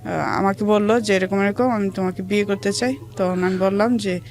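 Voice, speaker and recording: A woman with a Bangladeshi accent, haltingly recounting her own life story as an interview guest. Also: mid-range voice, fairly even intonation, a little mellow.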